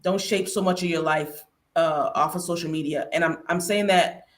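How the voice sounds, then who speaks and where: A woman's voice: lowish voice, fairly even intonation, moderate pace. A woman giving conversational advice on a panel, earnest and emphatic, repeating key phrases.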